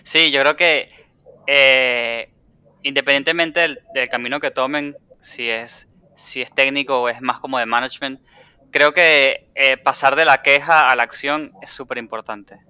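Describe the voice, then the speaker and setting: A man's voice: medium pitch, steady tone, unhurried, bright, forceful tone, clear, resonant tone, no room sound. A man interviewing in a casual, informal way, often acting out quoted remarks as he talks. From Latin America, outside Argentina. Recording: dry but slightly dull, band-limited sound.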